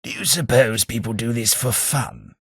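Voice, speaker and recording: A man voicing a posh ghoul gentleman companion in a video game, dry, sardonic and fussy. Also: mid-range voice, huge pitch swings, normal speed, vocal fry, husky, dry recording, heavily acted. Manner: dry, sardonic, prim understatement.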